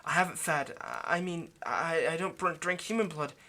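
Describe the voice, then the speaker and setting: A man playing an anxious, lovesick vampire kidnapper in an intimate audio roleplay, speaking in a halting, pleading way. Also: a little high, pushed and bright. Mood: agitated, defensive, earnest. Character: anxious, lovesick male vampire kidnapper, centuries old but youthful.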